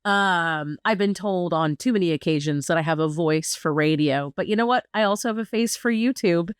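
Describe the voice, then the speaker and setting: A woman co-hosting a podcast in an easygoing, wry manner. Her voice is low and smooth, and it is recorded close and dry with no room sound. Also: normal speed, no room sound. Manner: easygoing and wry, laughs between points. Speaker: podcast co-host. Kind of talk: casual chat.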